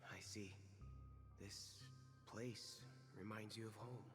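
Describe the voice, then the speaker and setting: A man playing a gentle, wistful traveller in a video game, measured and solemn. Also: on the low side, fairly even intonation. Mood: wistful, parting. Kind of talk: dramatic video game voice acting. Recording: long reverb with loud music behind.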